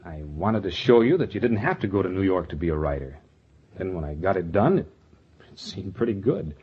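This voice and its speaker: An actor playing a steadfast, plain-spoken young small-town suitor, earnest and sincere, in a 1940s radio drama. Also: male voice, deep voice, expressive, a little fast, breathy edge. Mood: sheepish. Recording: muffled 1940s radio broadcast recording.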